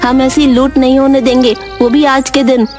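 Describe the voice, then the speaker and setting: A woman plays an idealistic young boy in a cartoon moral tale. The voice is very high and earnest, stubbornly defiant, with music underneath. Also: little pitch movement, moderate pace, darkish tone, natural voice. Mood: defiant, righteous. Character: stubborn principled schoolboy, idealistic young son. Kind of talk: Hindi cartoon moral-story voice acting.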